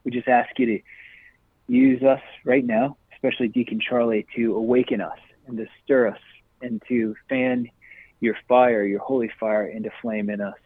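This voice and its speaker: Husky man leading prayer over a phone. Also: mid-range voice, fairly even intonation, no echo. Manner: earnest, slow and pleading in prayer. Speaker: radio co-host. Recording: tinny phone line.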